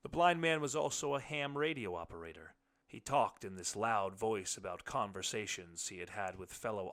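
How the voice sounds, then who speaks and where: Male narrator, low pitch, crisp forceful tone, measured first-person storytelling, short story audiobook.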